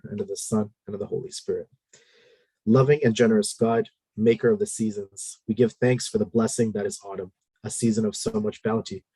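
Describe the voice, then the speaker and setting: Male meeting chair, low husky voice, steady procedural delivery with many fillers. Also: no room sound, rough recording. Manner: procedural, measured, frequent "uh" fillers. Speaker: committee chair.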